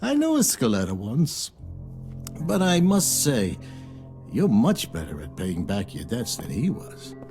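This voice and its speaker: A man's voice: medium pitch, wildly swooping pitch, darkish tone, faint music behind. A man playing a sly, smug loan shark in a video game cutscene, insinuating and falsely courteous. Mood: mock-polite, probing. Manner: unhurried, insinuating, mock-polite.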